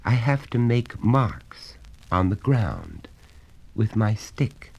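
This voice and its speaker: A man's voice: lowish voice, very animated, slow pace, vocal fry, a little mellow, highs cut off, voice pushed up, exaggerated voice. A man voicing a stubborn, earnest little boy who insists passionately. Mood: insistent.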